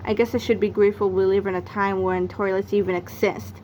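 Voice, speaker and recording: A woman's voice: mid-range voice, little pitch movement, brisk, darkish tone, a little hiss or hum. A woman narrating a read-aloud of a children's comic novel, acting out its exclamations and sound effects. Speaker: book narrator.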